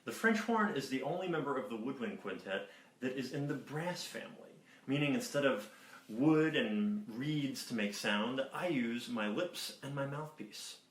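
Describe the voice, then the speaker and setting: A man who plays French horn, clearly explaining his instrument to schoolchildren. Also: mid-range voice, expressive, moderate pace. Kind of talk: introducing an instrument to children. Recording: roomy.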